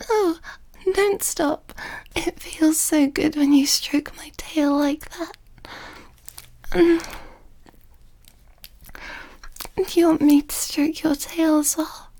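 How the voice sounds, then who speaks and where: Actress as affectionate girlfriend figure for whispered audio roleplay: high register, very slow, breathy, halting delivery. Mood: aroused, breathless. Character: amorous, tender female lover with a tail.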